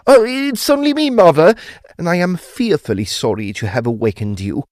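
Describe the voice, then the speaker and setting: An actor playing a polite, uneasy adult son who recounts a haunting in formal, old-fashioned, expressive storytelling for an audio drama. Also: male voice, fairly high pitch, normal speed, no room sound, studio quality, lightly acted. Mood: nervous, apologetic.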